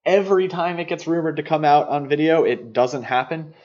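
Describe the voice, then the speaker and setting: A man co-hosting a podcast, discussing films conversationally in a measured, analytical and opinionated way. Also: on the high side, darkish tone, highs cut off. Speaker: podcast co-host.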